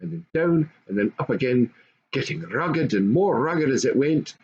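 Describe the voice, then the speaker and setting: An older man reads a classic fairy tale aloud in an expressive, measured, grandfatherly storytelling style. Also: medium pitch, husky, dull audio. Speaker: storybook reader.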